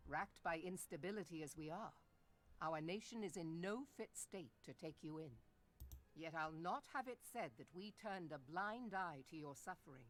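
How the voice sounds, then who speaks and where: An actress playing a resolute, proud woman admiral in a video game, with a formal, stately delivery. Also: lowish voice. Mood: stately, regretful.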